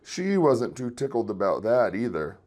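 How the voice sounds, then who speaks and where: A man reading a children's story aloud in an expressive storytelling style. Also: mid-range voice, moderate pace. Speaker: children's book reader.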